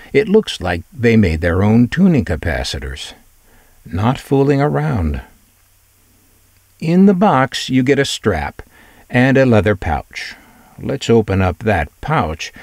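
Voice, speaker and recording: A man's voice: lowish voice, a little mellow, dry recording, studio quality. A man presenting a collector's showcase in scripted narration, with wry, conversational asides. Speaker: presenter of vintage radio collection videos.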